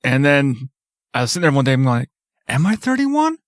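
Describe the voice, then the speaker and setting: A man's voice: medium pitch, very animated, brisk, no room sound, studio quality. Laid-back man in his thirties. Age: 30s. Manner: laid-back, jokey storytelling. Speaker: podcast co-host. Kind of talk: casual chat.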